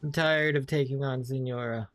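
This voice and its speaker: A man giving casual, low-key live commentary as he streams a video game. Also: fairly even intonation, moderate pace, a bit bright, dry recording. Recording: game music behind the voice. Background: video game music.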